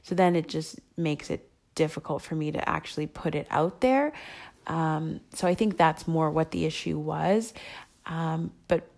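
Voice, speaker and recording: A young adult woman with a hoarse voice, rambling and thinking aloud with many ums as she hosts her solo podcast. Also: sits low, measured pace, darkish tone. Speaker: podcast host. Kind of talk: casual solo podcast chat.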